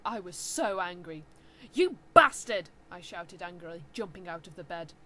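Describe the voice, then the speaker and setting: A woman's voice: lowish voice, steady tone, normal speed, rough recording. A woman giving a theatrical, mock-serious dramatic reading of fan fiction as narrator. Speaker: reader.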